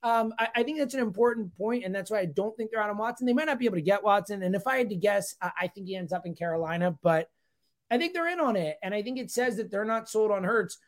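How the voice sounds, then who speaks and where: A man's voice: high voice, lively intonation, quick pace. A man giving casual, opinionated sports analysis as a guest commentator, speaking emphatically and repeating phrases for stress.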